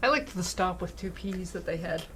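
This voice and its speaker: A woman with a slightly low voice co-streams a game. She talks casually, commenting and reading some lines aloud. There is audible noise behind her. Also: moderate pace, fairly bright tone. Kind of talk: casual chat during a live game stream. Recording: clearly audible background noise.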